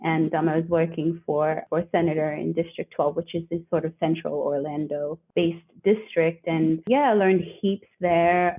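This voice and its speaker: A woman from the northeastern United States, a guest on an interview podcast, speaking in a chatty, reflective way. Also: deep voice. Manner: chatty, reflective, light laughs. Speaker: guest, career coach. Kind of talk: conversational interview. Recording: tinny phone-like remote line.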